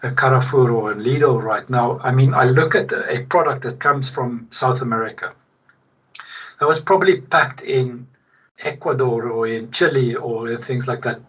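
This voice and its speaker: A middle-aged man from South Africa, a guest in a casual podcast interview, talking in an animated, emphatic way with frequent restarts. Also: mid-range voice, breathy edge. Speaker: guest, logistics executive. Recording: distant, dull remote-call sound.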